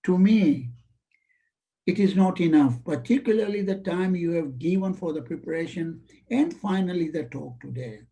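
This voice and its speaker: A man whose first language is Swahili delivers a formal vote of thanks in a ceremonious manner. His voice is strikingly bright and sits a little high for a man. He speaks over a webinar call. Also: normal speed, gravelly, poor audio. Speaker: vice chair giving vote of thanks.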